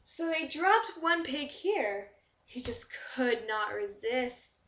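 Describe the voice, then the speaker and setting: High-voiced woman reading a storybook aloud. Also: expressive, slow pace, fairly bright tone, a little hiss or hum. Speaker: storybook reader. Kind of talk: expressive children's story read-aloud. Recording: dull echoey amateur room recording.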